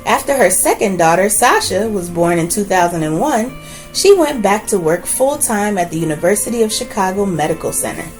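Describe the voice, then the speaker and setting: Woman reading aloud with lively pitch. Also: normal speed, faint music behind, poor audio. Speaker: read-aloud host reading a children's biography. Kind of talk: read-aloud narration of a children's book with brief asides.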